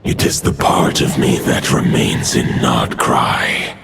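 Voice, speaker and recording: Gravelly ancient wolf god. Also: male voice, exaggerated voice. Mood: solemn. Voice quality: deep gravelly growl. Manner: slow, solemn, commanding. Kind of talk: video game voice acting. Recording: game audio over loud music.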